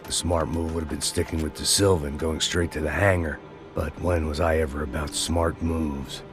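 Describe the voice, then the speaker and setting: A hard-bitten, middle-aged American antihero in a video game. His voice is extremely low and he narrates with bitter, deadpan self-mockery. Loud music plays underneath. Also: moderate pace. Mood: weary, self-mocking. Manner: world-weary, sardonic, deadpan. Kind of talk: scripted noir voice-over narration.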